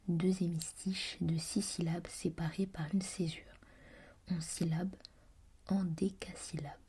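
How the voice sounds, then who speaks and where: A woman whispers all the way through, soft and musing as she reads aloud and remarks on what she finds. Her voice sits low and mellow. A faint hiss of noise lies under it. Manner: soft and musing, pausing to comment. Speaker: reader. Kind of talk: whispered reading of a language book.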